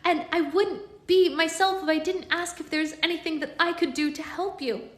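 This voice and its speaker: A woman's voice: extremely high pitch, steady tone, moderate pace, a bit bright, reverberant, pitched above the performer's own voice. A woman voicing a kind, eager young girl in a storybook reading. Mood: earnest.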